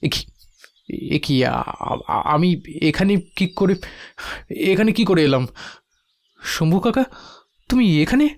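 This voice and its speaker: A man playing an anxious, bewildered and weary young village son in a horror audio story, exclaiming and halting when alarmed. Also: high voice, big pitch swings, full character voice. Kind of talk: dramatised horror story dialogue.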